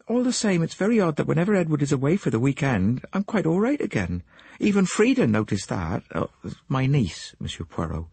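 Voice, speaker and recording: Male narrator doing a fretful middle-aged wife, raised voice, husky, lively swings, dry sound. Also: fairly high pitch, no room sound, voice pushed up, heavily acted. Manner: fretful, gossipy, quick to take offence. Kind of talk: audiobook character voice.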